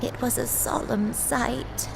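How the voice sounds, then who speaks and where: A woman voicing a gentle, wistful elderly grandmother in a video game, reminiscing in measured phrases. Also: a little high, fairly even intonation, creaky, background noise, heavily acted. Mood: wistful, reflective. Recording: game music behind the voice.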